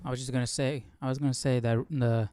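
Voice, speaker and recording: A man's voice: mid-range voice, steady tone, quick pace, noisy background. A man hosting a livestream, giving casual commentary and musing aloud in an informal way. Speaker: livestream host. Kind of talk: casual Bible study commentary.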